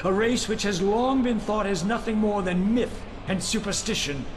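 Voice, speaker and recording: Man playing a high-voiced, earnest alien envoy. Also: normal speed, noisy background, natural voice. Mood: solemn. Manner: formal, dramatic oratory. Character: earnest, impassioned alien envoy pleading to a senate. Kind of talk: dramatic video game cutscene acting.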